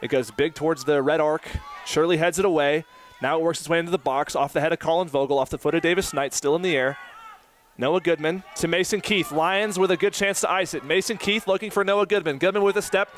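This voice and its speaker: Young male soccer announcer, fairly high voice, quick play-by-play, outdoor stadium. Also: slight background noise. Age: 20s. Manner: rapid play-by-play that climbs to excited shouting. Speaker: play-by-play commentator.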